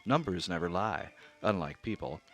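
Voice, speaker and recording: A man's voice: deep voice, animated. A man playing a fussy, exacting accountant. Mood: precise. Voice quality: measured, dry and exacting. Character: fussy, precise middle-aged male accountant, rigid and easily rattled. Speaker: narrator. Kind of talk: radio drama narration. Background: soft music.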